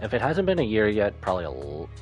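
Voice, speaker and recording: A man's voice: lowish voice, huge pitch swings, fast talker, lo-fi sound. A man livestreaming casually, thinking aloud in a rambling way as he chats over a game. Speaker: live streamer. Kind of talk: casual gaming stream chat and commentary. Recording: game music behind the voice. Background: video game music and dialogue.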